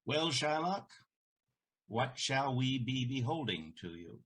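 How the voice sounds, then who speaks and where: An actor playing a proud, scornful elderly merchant in a Shakespearean audio drama, with a haughty, declamatory delivery. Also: male voice, mid-range voice, very animated, slow pace, a bit bright, slightly rough, exaggerated voice. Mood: impatient.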